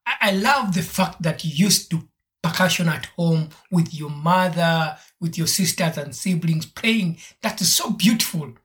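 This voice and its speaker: A podcast host from Uganda, gently polite and encouraging while interviewing a guest and sharing memories. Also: medium pitch, a little slow.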